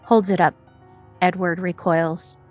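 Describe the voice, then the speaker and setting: A woman's voice: on the low side, flat delivery, slow pace, a little mellow, faint music behind. A woman reads screenplay stage directions as scripted narration, setting scenes and describing action.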